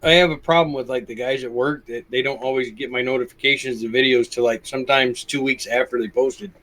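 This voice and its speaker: A man's voice: medium pitch, steady tone, fairly quick, fairly bright tone, low-quality recording. A man chatting casually as a livestream guest, telling anecdotes and quoting what people said. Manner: anecdotal, acts out quoted remarks.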